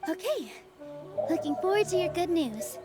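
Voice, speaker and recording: A woman voicing a gentle, kind young dancer in a video game, soft and sincere in manner. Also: extremely high pitch, big pitch swings, moderate pace. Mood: hopeful. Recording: music behind the voice.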